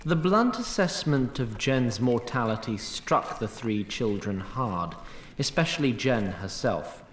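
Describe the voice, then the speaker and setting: Male fantasy narrator: deliberate, dryly humorous, mid-range voice with animated intonation. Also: medium pitch, reverberant, slight background noise. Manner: wry, deliberate. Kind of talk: scripted storybook narration of a fantasy audio drama.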